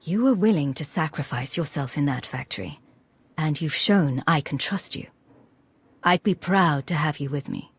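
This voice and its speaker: A woman playing a composed, assured agent and commander in a video game, speaking in a measured, decisive way. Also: low voice, lively intonation, breathy edge, heavily acted. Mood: calm, earnest.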